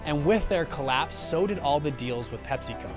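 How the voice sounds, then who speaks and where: A man's voice: on the high side, animated, moderate pace. A young man, a show host, telling a scripted business story with upbeat, jokey asides. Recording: light background music.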